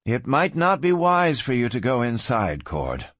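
A man's voice: mid-range voice, huge pitch swings, a little slow, a bit bright, no room sound, heavily acted. A man voicing a strong-minded, defiant young woman in a Western storybook. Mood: worried, reluctant. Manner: firm, indignant, cautioning. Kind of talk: dramatised audiobook reading.

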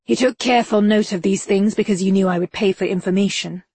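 A woman's voice: medium pitch, fairly even intonation, quick pace, a little mellow, breathy edge, no room sound. A woman voicing a terse, guarded male investigator in an audiobook, speaking in short, clipped questions. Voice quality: curt and clipped. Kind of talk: audiobook character voice.

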